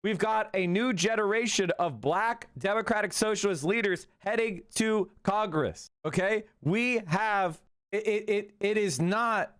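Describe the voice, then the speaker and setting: High-voiced excited male streamer. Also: measured pace, clear, resonant tone. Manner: excited, profane, rambling. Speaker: political livestreamer. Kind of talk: casual livestream commentary.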